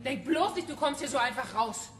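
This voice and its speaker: A woman's voice: sits high, lively intonation, fairly quick. An actress playing a vengeful young female hacker in a radio crime drama, controlled and taunting. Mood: cold, defiant. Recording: echoey room with faint noise.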